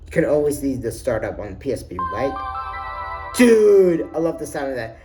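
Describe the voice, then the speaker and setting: Rambling, excitable male gaming vlogger. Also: medium pitch, normal speed, over music. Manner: rambling, enthusiastic, frequent restarts. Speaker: gaming YouTuber. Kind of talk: casual menu walkthrough.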